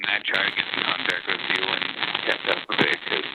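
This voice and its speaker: A man talking casually and haltingly on a telephone, trailing off between phrases. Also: low-pitched, fairly even intonation. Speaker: jail inmate calling his father. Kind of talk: casual phone conversation. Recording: very noisy, crackly jail phone call.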